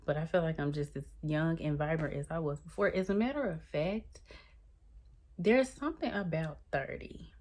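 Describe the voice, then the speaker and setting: A woman, chatting casually to her viewers and calling them y'all. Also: moderate pace. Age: 30s. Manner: chatty, addresses viewers as y'all, lively swings in pitch. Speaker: vlogger. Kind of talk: casual chat to the camera.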